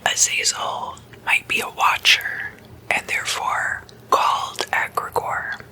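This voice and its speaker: ASMR host, fully whispered, breathy and very crisp-edged, unhurried casual explainer delivery. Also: sharp tone, rough recording. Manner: slow, deliberate, with drawn-out pauses.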